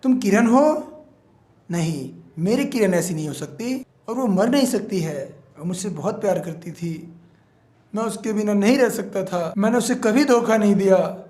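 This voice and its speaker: A man voicing a frightened man who begs in a fast, high-pitched voice to save his family. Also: lively intonation, a little mellow. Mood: shocked. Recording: echoey room.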